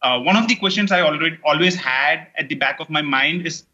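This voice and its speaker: A man's voice: on the high side, fairly quick, pushed and bright, highs cut off. A middle-aged man from India with an Indian English accent, a native Telugu speaker, chatting as an interview guest, rambling with many fillers and restarts. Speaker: guest, online course creator. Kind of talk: casual interview chat.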